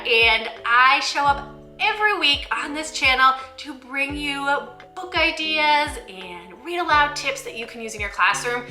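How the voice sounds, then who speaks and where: Female book-channel host, enthusiastic and expressive, fairly high voice, faint music behind. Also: roomy sound. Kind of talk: scripted-from-notes book review vlog addressed to viewers.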